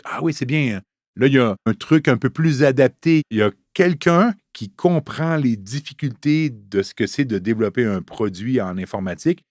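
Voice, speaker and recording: A man's voice: mid-range voice, a little mellow, dry recording, clean studio sound. A man in his fifties with a Canadian French accent, a Scrum trainer telling his story casually with fillers in an interview.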